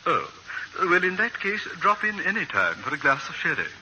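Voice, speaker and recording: An actor playing a fussy, fawning small-town gossip, hesitant and ingratiating, in 1940s radio style. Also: male voice, a little high, huge pitch swings, normal speed, full character voice. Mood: fawning, flustered. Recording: bright, noisy old radio recording.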